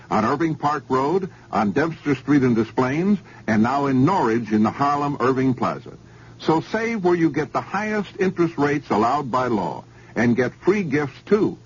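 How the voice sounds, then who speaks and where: A man's voice: mid-range voice, breathy edge. A man reading a radio commercial with upbeat salesman patter. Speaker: commercial announcer. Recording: dull old radio broadcast.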